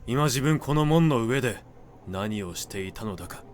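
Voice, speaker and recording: A man voicing a menacing lowly servant with a sword in a literary reading, terse and commanding, in archaic speech. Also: animated, normal speed, slight background noise, close to an everyday voice. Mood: firm, softened. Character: menacing young male servant turned robber with a sword.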